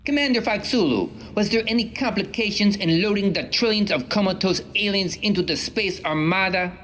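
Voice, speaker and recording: A woman's voice: mid-range voice, moderate pace, lightly acted. A woman playing a vain, contemptuous female galactic despot in a campy sci-fi comedy sketch, pompous and whiny. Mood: irritated. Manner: pompous, disdainful.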